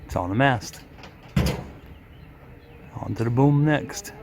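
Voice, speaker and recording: A man's voice: mid-range voice, slow, noisy background. A man gives casual on-the-spot commentary as he films outdoors on a handheld camera. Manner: chuckles at his own remarks. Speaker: camera operator and helper narrating a vlog. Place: outdoors at the foot of an antenna tower.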